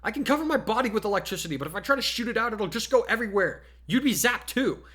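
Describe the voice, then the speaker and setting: Man as a high, nervous teenage boy. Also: quick pace. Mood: exasperated. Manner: hesitant, excitable, whiny. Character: nervous, whiny teenage boy with electric powers.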